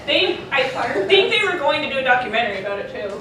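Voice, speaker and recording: A woman's voice: high voice, sing-song, fast, pushed and bright. A woman chatting casually on a convention panel, teasing and joking as she goes. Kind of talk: casual banter. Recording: very echoey, distant, noisy convention hall.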